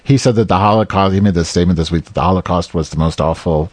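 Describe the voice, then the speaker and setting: A man's voice: deep voice, fast, no room sound. A man co-hosting a casual comedic talk show, rambling and sarcastic, cursing freely.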